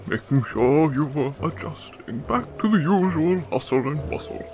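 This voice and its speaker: A man playing a genteel, flattering criminal mastermind and nightclub owner in a radio drama, speaking in a courtly, effusive, formal way. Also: on the high side, moderate pace, music in the background. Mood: smooth courtly flattery.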